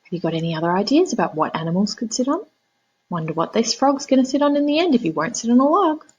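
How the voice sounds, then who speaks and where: A woman's voice: a little high, sing-song, fast talker, a little mellow, breathy edge, highs cut off. An Australian woman, a primary teacher, reading a storybook to young children, explaining words and prompting them with questions.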